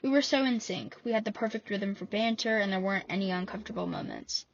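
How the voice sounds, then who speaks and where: A woman's voice: medium pitch, fast, highs cut off. Wry teen girl reading her essay. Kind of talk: scripted personal essay reading.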